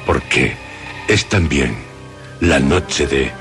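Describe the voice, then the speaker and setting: Low male voice, theatrical radio host, deliberate suspenseful narration, prominent background music. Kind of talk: dramatic scripted narration.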